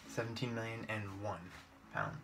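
A man's voice: on the low side, sing-song, normal speed, gravelly, noisy background. A man giving casual, informal gameplay commentary, thinking aloud as he plays. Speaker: gaming video creator. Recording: game music behind the voice. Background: video game music.

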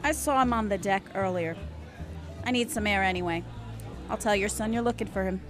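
A woman's voice: medium pitch, a little fast, music in the background, lightly acted. An actress playing a sly, cool female mobster in a radio noir drama, sardonic and pointed.

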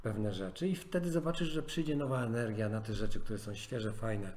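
A man's voice: on the low side, moderate pace, a little mellow, a little hiss or hum. Earnest male coach giving advice. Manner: earnest, advice-giving, with many fillers and restarts. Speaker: life coach. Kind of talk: podcast conversation.

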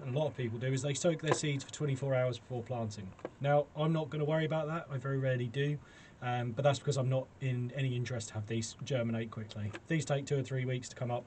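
A man in his forties with a British accent giving a casual, chatty, self-deprecating gardening demonstration to camera. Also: medium pitch. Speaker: allotment gardening vlogger. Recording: clearly noisy camera sound with clicks.

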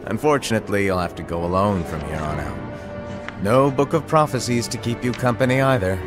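An actor playing a playful, mischievous master and mentor in a game cutscene, teasing and theatrical. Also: male voice, fairly low pitch, music in the background, lightly acted. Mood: breezy instructing. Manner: theatrical, teasing, drawn-out wordy delivery. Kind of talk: animated game cutscene dialogue.

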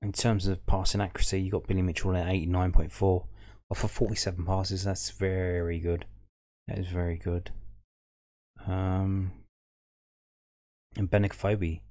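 A man's voice: deep voice, little pitch movement, normal speed, pauses often, a little mellow. A man hosting a fan show, casually talking through football match statistics on screen and thinking aloud as he reads them. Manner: musing, thinking aloud over a stats table. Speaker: fan podcast host. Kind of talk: casual stats commentary.

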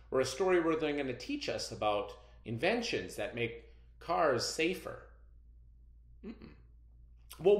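A middle-aged American man teaches reading to young children. His delivery is very animated and unhurried, with expressive questions aimed at the listeners. The room around him sounds echoey. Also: medium pitch. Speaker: elementary school teacher. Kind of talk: read-aloud reading lesson for children. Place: indoors, roomy-sounding room.

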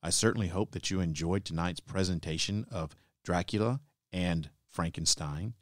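Deep-voiced man hosting a podcast. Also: moderate pace, no echo, clean studio sound. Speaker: podcast host. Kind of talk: podcast sign-off narration.

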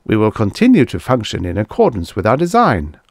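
A man voicing an ancient alien machine oracle, impartial and formal, with a measured, pedantic manner in a science fiction audiobook. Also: fairly low pitch, huge pitch swings, no room sound, studio quality, exaggerated voice. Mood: calm, precise.

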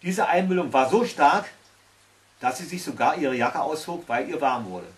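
A man's voice: on the high side, lively intonation, moderate pace. A man in his sixties reading a fairy tale aloud at home, as a storyteller. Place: small tiled-stove corner indoors at home. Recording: recorded from a distance.

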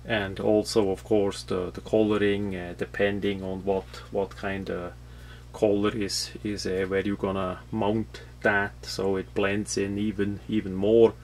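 A non-native English-speaking man from Switzerland with a Swiss accent, explaining a product off the cuff in a halting, matter-of-fact, rambling way. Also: fairly low pitch, level tone, a little mellow. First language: not English. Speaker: product reviewer. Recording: clear background noise with hum.

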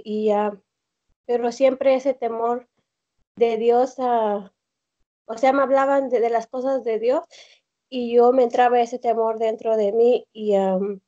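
A young woman with a Mexican accent calling in on a video call from home, telling her story haltingly with many fillers and restarts. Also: a little high, warm tone. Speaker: caller. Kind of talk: hesitant personal testimony on a video call. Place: home room indoors. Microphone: laptop or phone on a video call. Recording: dull lo-fi video call audio.

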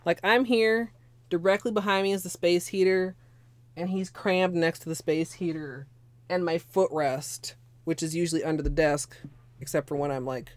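A hoarse young woman chatting casually through a nail art tutorial at her desk at home, rambling with lots of fillers. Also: fairly low pitch, steady tone, normal speed, dry recording. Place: small home room with space heater.

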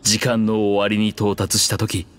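An actor playing an ancient, proud dragon king in human form, speaking gravely and formally in a measured way for a game. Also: on the low side, completely flat delivery, close to an everyday voice. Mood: grave, resigned. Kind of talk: Japanese game voice acting, archaic dramatic dialogue.

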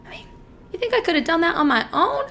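A woman's voice: sing-song, sharp tone, exaggerated voice. A woman acting out a dramatic film monologue as a manipulative, theatrical killer talking to a lawyer. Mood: gleeful, taunting. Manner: foul-mouthed, taunting, theatrical, halting. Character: manipulative killer client, meek at first, then gloating and mocking. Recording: faint noise and low rumble.

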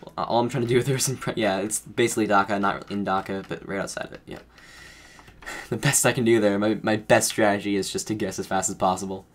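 A man's voice: lowish voice, fairly even intonation, a little fast. A man commentating his own game casually, rambling and correcting himself as he thinks aloud, his voice a bit off from feeling under the weather. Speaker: gaming streamer.

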